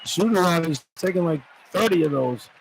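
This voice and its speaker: A man with a somewhat high voice gives conversational analysis during a basketball broadcast. He is informal and opinionated. The arena is clearly loud behind him. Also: animated, brisk, a little hiss or hum, poor audio. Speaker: radio color analyst. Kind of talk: conversational basketball color commentary. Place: courtside in an indoor arena. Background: loud game ambience.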